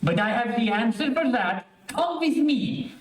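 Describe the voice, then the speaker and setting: Echoey, high-pitched mad scientist played by a woman. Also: lively intonation. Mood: menacing, crazed. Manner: theatrical, deliberate, menacing. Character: mad, fanatical scientist with a cackling laugh. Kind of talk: old-time radio serial acting. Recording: echoey stage, distant mic, live stream.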